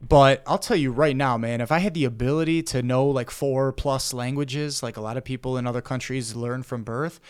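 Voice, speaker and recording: A man's voice: medium pitch, steady tone, normal speed, few pauses, clear, resonant tone. A man hosting a casual podcast conversation, rambling with lots of fillers and restarts. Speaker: podcast host.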